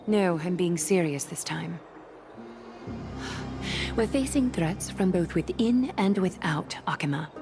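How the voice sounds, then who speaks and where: An actress voicing a grave, commanding woman leader in a video game, speaking in a formal, measured, solemn manner. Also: fairly low pitch, lively intonation. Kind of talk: scripted video game voice acting. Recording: music under the voice.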